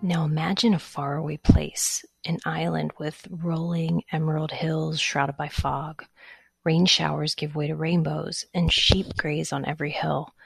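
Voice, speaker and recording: Woman interview host, low gravelly voice, dry close sound, eager and warm in tone. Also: flat delivery, unhurried, no echo, faint music behind. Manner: enthusiastic, gushing. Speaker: podcast host. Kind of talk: scripted intro then interview.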